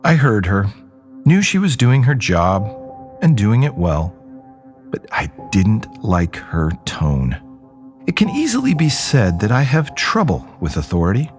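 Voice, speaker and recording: A man's voice: on the low side, wildly swooping pitch, measured pace, soft background music. An actor playing a jaded, rebellious young man who tells his own story in a wry, conversational, rambling way. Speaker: narrator and lead character of an audio drama. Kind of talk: first-person dramatic narration, conversational storytelling.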